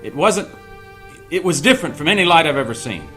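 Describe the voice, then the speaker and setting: A man acting a gentle, bewildered old man in an audio drama reading, hesitant, earnest and full of wonder. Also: fairly high pitch, big pitch swings, a little fast, soft background music. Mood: wondering, insistent.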